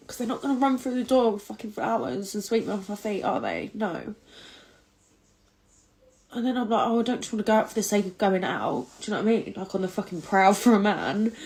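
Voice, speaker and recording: Woman crying at home, swears a lot, gravelly creaky voice. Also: medium pitch, poor audio. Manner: tearful, swears a lot, rambling. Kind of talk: casual confessional selfie video. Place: indoors at home.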